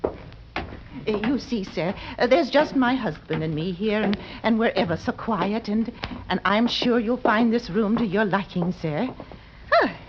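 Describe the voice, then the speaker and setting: A woman's voice: medium pitch, unhurried, lightly acted. An actress playing a flustered, deferential working-class landlady in a 1940s radio drama, hesitant and eager to please. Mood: nervous, eager. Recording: muffled, noisy old radio recording.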